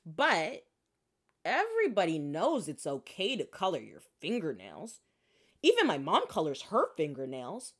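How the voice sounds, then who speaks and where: A woman's voice: on the low side, huge pitch swings, a little slow, slightly rough, pitched below the performer's own voice, heavily acted. Woman acting out an eager, insistent little girl. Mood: bored, scheming. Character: eager, pleading young schoolgirl who loves markers.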